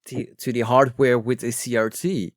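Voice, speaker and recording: A man's voice: mid-range voice, level tone, normal speed, dry recording, studio quality. A man hosting a podcast, chatting casually and appreciatively with his guest. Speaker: podcast host. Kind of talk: casual interview chat.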